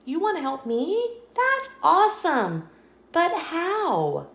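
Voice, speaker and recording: A woman's voice: extremely high pitch, huge pitch swings, slow, fairly bright tone, reverberant, voice pushed up, full character voice. A woman voicing a small, lonely but hopeful storybook squirrel in a childlike, plaintive way.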